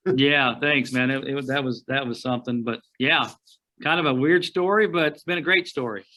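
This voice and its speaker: A middle-aged man from Oklahoma, a guest outdoor writer, telling stories in a rambling, folksy way with frequent pauses and restarts over a remote call. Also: medium pitch, sharp tone. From Oklahoma, USA. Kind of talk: casual storytelling interview.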